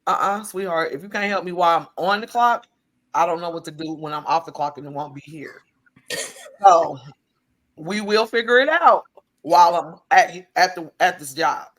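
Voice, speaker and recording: A tired woman podcast co-host with a slightly raspy voice, telling stories in casual chat and acting out her own exchanges. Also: fairly low pitch, normal speed, a bit bright. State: tired from overwork.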